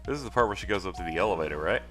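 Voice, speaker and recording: Man, let's play host, casual commentary, low voice, animated, faint music behind. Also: very fast. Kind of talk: casual video game commentary.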